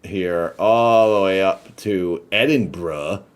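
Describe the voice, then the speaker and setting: A man's voice: sits low, takes it slowly, clear, resonant tone. A man hosting a podcast, who jokes and rambles in a casual chat. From United States. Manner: joking, rambling. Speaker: podcast host.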